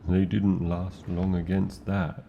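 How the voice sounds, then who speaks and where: A man with a British accent voicing a tender, protective male dragon in a fantasy ASMR roleplay, speaking in a slow, formal and doting way full of endearments. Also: sits low, little pitch movement, creaky, phone-quality sound, a little hiss or hum, heavily acted. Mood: watchful. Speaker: voice actor.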